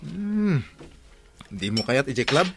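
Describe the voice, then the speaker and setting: A man's voice: medium pitch, big pitch swings, slow pace, creaky, music in the background, heavily acted. A man playing a jovial, teasing drinking buddy in an Ilocano radio drama. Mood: coaxing.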